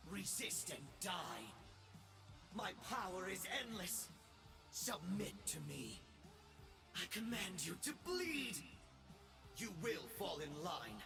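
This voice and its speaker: A man playing an arrogant, power-mad young space emperor in a video game, pompous and gloating, swinging between shouts and whispers. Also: sits high, gravelly, exaggerated voice. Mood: commanding, furious. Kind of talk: theatrical video game voice acting. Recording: loud music behind the voice. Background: music.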